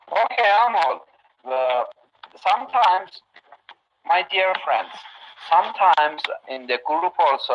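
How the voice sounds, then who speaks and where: Slow, halting Iranian man teaching. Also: a little high, lo-fi sound. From Iran. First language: Turkish. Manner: halting, many fillers and pauses. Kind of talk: online class talk to students.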